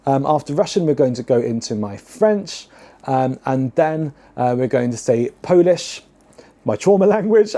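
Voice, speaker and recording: A young British man, a drag queen hosting his own show, chatting to camera in a camp, theatrical way with lots of fillers and sudden high exclamations. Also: mellow. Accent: British English. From United Kingdom. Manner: many "um" fillers, chatty and self-mocking. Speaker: drag queen podcast host. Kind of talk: chatty solo talk to camera.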